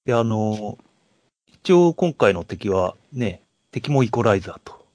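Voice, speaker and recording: A man co-hosting a podcast, talking casually about films and pausing to search for words. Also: on the low side, sing-song, darkish tone, no room sound. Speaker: podcast co-host. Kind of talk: casual film discussion.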